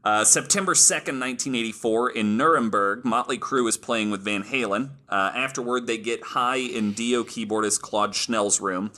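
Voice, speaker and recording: A man's voice: medium pitch, normal speed, few pauses, sharp tone. A man hosting a casual podcast, telling stories from his notes in an informal, amused, sweary way. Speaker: podcast host.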